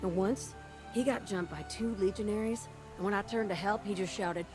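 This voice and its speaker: An actress voicing a tough, warm-hearted fighter pilot in a video game, with a folksy, plain-spoken manner. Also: female voice, medium pitch, moderate pace, over music, natural voice. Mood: fond, chatty. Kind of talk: video game character dialogue.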